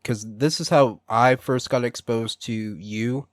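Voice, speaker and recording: A man hosting a casual interview, chatty and quick with short repeated reactions. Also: mid-range voice, no echo, studio quality. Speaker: radio show host.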